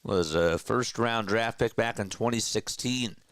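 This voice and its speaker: Guy with a stuffy nose doing live hoops play-by-play, smooth clear voice, super dry sound. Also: fairly low pitch, measured pace, no echo. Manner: energetic, chatty, talks to viewers between plays. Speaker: play-by-play caller. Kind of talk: live basketball play-by-play commentary.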